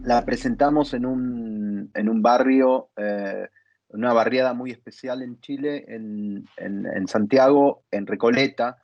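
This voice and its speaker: A man speaking as an interviewer in a conversational panel, phrasing his thoughts in a halting, reflective way. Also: mid-range voice, no echo. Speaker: interviewer and theatre dramaturg. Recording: dull lo-fi sound.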